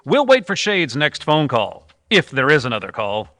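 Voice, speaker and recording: A man plays a decisive radio adventure hero, bright and forceful, with animated pitch. He snaps out brisk orders in live stage drama, recorded with a long hall reverb. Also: studio quality. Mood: commanding, urgent. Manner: brisk, clipped commands. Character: commanding, decisive adventurer hero leading his young team. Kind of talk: live old-time radio adventure acting.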